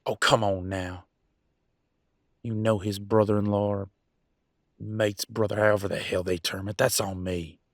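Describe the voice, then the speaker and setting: A man playing a conflicted werewolf pack alpha in an audio roleplay, arguing emphatically in colloquial, sweary speech with dramatic pauses. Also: lowish voice, flat delivery, dry recording, clean studio sound. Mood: remorseful, firm. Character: Damien, conflicted male werewolf pack alpha, defiant and remorseful. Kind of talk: scripted audio roleplay, one side of an argument.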